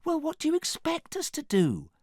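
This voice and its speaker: A prim, haughty storybook princess. The voice is very high and girlish, swooping around in an affected singsong. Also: wildly swooping pitch, normal speed, darkish tone, no room sound, studio quality, full character voice. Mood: indignant.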